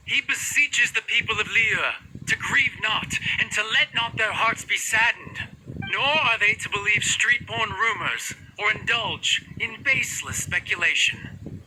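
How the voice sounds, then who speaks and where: A man's voice: high-pitched, pushed and bright, slight background noise, full character voice, poor audio. A man voicing a formal crier making a solemn public proclamation. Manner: slow, ceremonious proclamation. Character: formal male crier at a state funeral. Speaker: herald.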